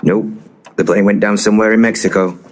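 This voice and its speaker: Man playing a very deep-voiced, pompous ghost hunter. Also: fairly even intonation, fairly quick, close to an everyday voice. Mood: deadpan. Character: pompous, eccentric male paranormal investigator, brazenly unbothered. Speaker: actor in an audio comedy drama. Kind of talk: audio play dialogue, dry comedy.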